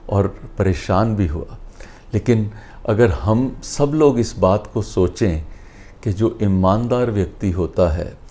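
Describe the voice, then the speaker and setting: Male storyteller, Indian accent, soft-edged dark tone, very unhurried delivery for moral tales. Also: fairly low pitch. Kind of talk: narrating an inspirational moral story in conversational Hindi.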